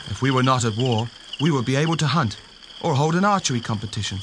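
A man's voice: mid-range voice, animated, fast talker, background noise, lightly acted. A man acting a brave, loyal English crusader knight in a children's audio drama, earnest and declamatory. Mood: wistful. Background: music.

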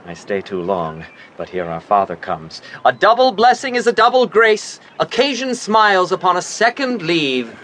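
Animated, earnest young nobleman. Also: high voice, slight background noise, full character voice. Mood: affectionate parting. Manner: earnest, cautioning, measured verse delivery. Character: earnest young nobleman, protective, lecturing older brother. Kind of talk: Shakespearean audio drama acting.

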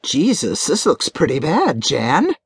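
Woman playing a brash, low-pitched gossipy girlfriend. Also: wildly swooping pitch, slow pace, husky, no echo, heavily acted. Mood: concerned, shocked. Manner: brash, scolding, nosy. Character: blunt, gossipy, crude middle-aged girlfriend confidante.

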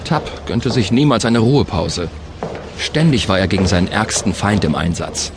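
A man playing a thoughtful, kindly space captain in a scripted sci-fi audio drama, measured and reflective. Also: fairly low pitch, background noise, lightly acted. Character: male space traveller, thoughtful, kindly captain of a small ship. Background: music.

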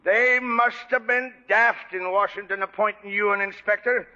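An actor playing a crusty but kindly old lighthouse keeper, grumbling and blustery, in a radio drama. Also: male voice, sits high, steady tone, normal speed, pushed and bright. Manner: grumbling, blustery with folksy sailor talk. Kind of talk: 1940s radio drama acting. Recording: muffled vintage radio broadcast.

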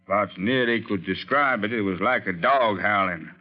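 An actor playing an earnest, plain-spoken small-town sheriff with folksy rural diction in an old-time radio drama. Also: male voice, on the low side, moderate pace, muffled recording, soft background music, natural voice. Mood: earnest, matter-of-fact. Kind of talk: 1930s-40s radio drama acting.

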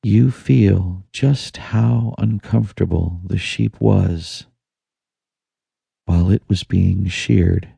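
Very slow, gravelly, low-voiced man. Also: no room sound. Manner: slow, soothing, hushed delivery with long pauses. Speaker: audiobook narrator. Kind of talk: guided meditation, scripted narration.

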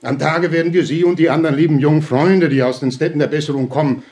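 A man's voice: a little high, steady tone, a little mellow, lightly acted. An actor playing an unctuous, domineering fatherly head of a halfway house, preachy and pompous, in a German audio drama. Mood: unctuous, preaching. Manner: preachy, pompous, drawn-out emphatic phrasing.

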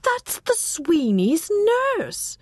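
A woman narrator voicing an excitable, nosy housewife who is easily alarmed and speaks insistently. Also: a little high, moderate pace, no room sound, voice pushed up. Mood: urgent, alarmed.

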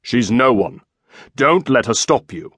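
A man voicing a gruff, wounded English lord, exasperated, sarcastic and commanding, in a historical audiobook. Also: medium pitch, expressive, unhurried, a bit bright, no room sound, clean studio sound. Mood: curt, commanding.

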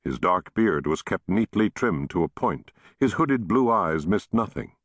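A man narrating a history audiobook in a measured, expressive storytelling manner, recorded in a studio. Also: low-pitched, dry recording.

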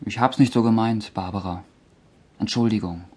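A husky, touchy office lad. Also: male voice, on the low side, moderate pace, warm tone. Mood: defensive, shy. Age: young adult. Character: touchy, shy teenage male office junior. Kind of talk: German crime radio play acting.

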